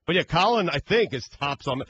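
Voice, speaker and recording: A male sports radio co-host with a slightly high voice tells loose, joking stories. He rambles casually. Faint noise hums under the studio mic. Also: expressive, fairly quick, a bit bright, highs cut off.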